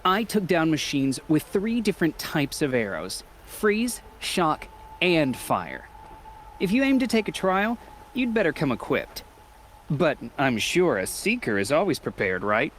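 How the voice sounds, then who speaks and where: Cocky, lively taunting hunter. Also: male voice, on the high side, measured pace, slight background noise. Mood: teasing, cocky. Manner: sarcastic, provoking. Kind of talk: video game dialogue acting.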